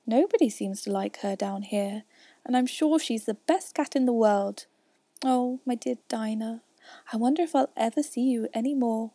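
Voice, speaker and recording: A woman's voice: on the high side, normal speed, mellow, dry recording. A woman voicing a polite, earnest and eager young girl from a storybook. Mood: melancholy.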